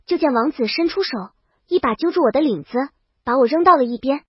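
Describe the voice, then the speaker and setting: A woman's voice: sits high, animated, fairly quick, no echo, lo-fi sound. A woman reading a comic first-person story as a scripted audiobook narration.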